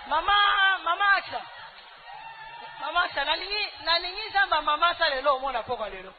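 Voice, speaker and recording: A very high-voiced woman with a Congolese accent testifies in church as a preacher. She speaks emphatically and scoldingly in short bursts. The recording is narrow and phone-like, with loud noise around her. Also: lively intonation, brisk. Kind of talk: animated church testimony in short phrases for interpretation.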